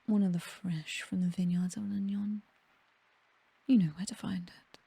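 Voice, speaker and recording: A British woman playing a possessive, seductive vampire mistress in an intimate ASMR roleplay, with a breathy whisper and a languid, condescending, aristocratic way of teasing. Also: medium pitch, fairly even intonation, normal speed, dark tone, no room sound. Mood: wistful, languid.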